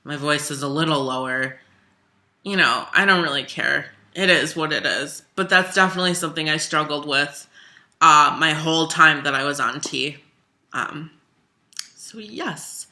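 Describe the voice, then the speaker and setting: A young adult in their twenties talks openly about personal experience in an unscripted, reflective way. The voice is very bright and sharp at a middle pitch, and the talk is expressive, with frequent restarts and fillers. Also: moderate pace. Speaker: singer and musical theater major talking about their own transition. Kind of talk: candid self-interview, reflective casual talk.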